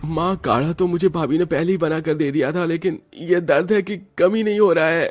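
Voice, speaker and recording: Man voicing a plaintive young man, pitched high. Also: fairly quick, close to an everyday voice. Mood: pained. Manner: plaintive, complaining. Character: complaining young man of the household, hungry and put-upon. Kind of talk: cartoon family drama acting.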